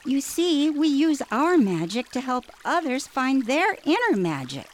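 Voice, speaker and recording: A woman's voice: high voice, big pitch swings, measured pace. A woman playing a small, wise, mysterious elder creature from dreamland in an audio story. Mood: reassuring. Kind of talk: audio theater acting.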